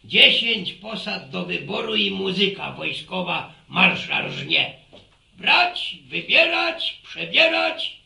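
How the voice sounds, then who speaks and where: A man's voice: high-pitched, big pitch swings, slow pace, vocal fry, pushed and bright, heavily acted. An actor playing a folksy, teasing old grandfather in a radio serial, with a rural Polish accent and a rambling, repetitive manner. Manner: rambling, repeats words, folksy sayings. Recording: roomy, slightly distant radio drama recording.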